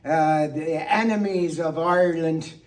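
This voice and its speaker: Slow, high-pitched man giving theatrical toasts from afar. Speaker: monologue performer. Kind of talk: theatrical toast-making monologue. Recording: distant microphone.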